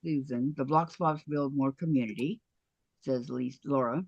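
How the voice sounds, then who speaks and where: A man co-hosting a quilting show, casually reading viewer comments aloud and answering in short, relaxed remarks. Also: medium pitch, normal speed, creaky, lo-fi sound, a crowd in the background.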